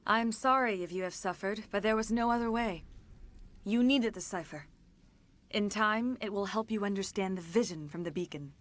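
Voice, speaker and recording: An actress playing a centuries-old alien disciple in a video game, speaking formally with grave, measured phrasing. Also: female voice, medium pitch, lightly acted. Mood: solemn, earnest. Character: centuries-old female alien disciple, solemn, remorseful and serene. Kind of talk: video game dramatic acting.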